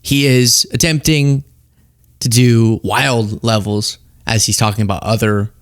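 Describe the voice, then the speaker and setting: A man's voice: mid-range voice, steady tone, a little slow, no echo, clean studio sound. A man hosting a political commentary show, talking casually and sarcastically, laughing often.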